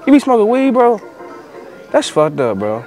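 A man's voice: high-pitched, wildly swooping pitch, normal speed, darkish tone, soft background music. A young American man hosting pranks in casual, slangy banter, often repeating himself. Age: young adult. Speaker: prank show host. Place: outdoor parking lot and inside a large store.

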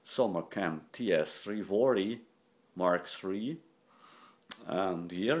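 A man informally demonstrating equipment in short, halting phrases. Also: lowish voice, darkish tone. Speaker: radio collector and presenter. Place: indoors at a desk. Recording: noisy room with radio static.

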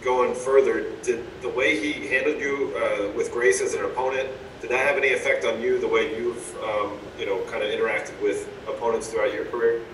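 A man's voice: medium pitch, steady tone, brisk, few pauses, fairly bright tone. A man working as a sports reporter, asking a long, detailed question at a press conference. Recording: distant, echoey line with noise.

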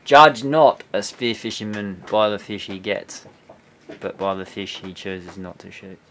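A man's voice: lowish voice, steady tone, measured pace, fairly bright tone. A man, chatting casually on a boat. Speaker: vlogger, spearfisherman. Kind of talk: casual chat. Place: on a boat, on deck and in the cabin. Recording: clear outdoor boat noise.

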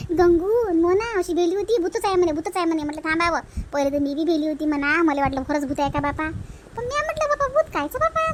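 A woman's voice: very high voice, a little hiss or hum. A woman voicing a bossy but caring village woman in a family comedy cartoon, emphatic, with a Vidarbha Marathi accent. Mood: excited. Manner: chiding, reassuring, emphatic.

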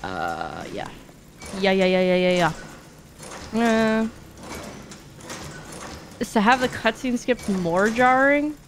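A woman's voice: mid-range voice, sing-song, slow pace, pauses often, slight background noise. A young woman giving casual, playful live commentary while streaming a video game, with mock exasperation. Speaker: streamer, speedrunner.

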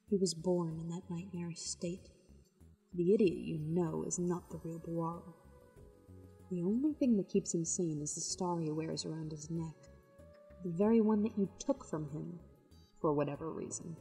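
A woman voicing a solemn, world-weary female wind demon in a fan radio play, grave and measured. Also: lowish voice, warm tone, breathy edge, faint music behind, heavily acted. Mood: explaining.

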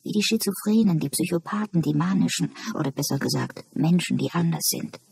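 A narrator voicing a calm, knowing woman asylum patient who muses and explains in an audiobook. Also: medium pitch, fairly quick, gravelly, husky, no echo. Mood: musing.

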